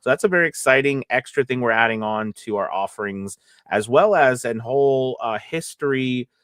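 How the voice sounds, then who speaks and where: A man's voice: medium pitch, moderate pace, a bit bright, dry recording, studio quality. A man hosting a casual live Q&A as a voice-over coach, talking in a hesitant, stop-and-start way.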